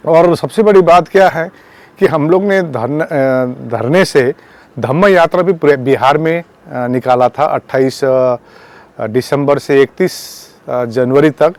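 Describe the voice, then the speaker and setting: A man speaking as a protest organiser, giving a campaign statement in a halting, repetitive way and mixing in English words. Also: on the high side, a little mellow, no echo. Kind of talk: spoken public statement on a campaign.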